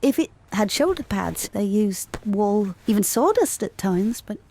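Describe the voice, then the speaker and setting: Creaky, mellow, geeky costume seamstress. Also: female voice, medium pitch, very animated, measured pace, a little hiss or hum. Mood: absorbed. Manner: chatty, informal, hesitant. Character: friendly, geeky costume seamstress, keen on period detail. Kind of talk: naturalistic audio drama acting.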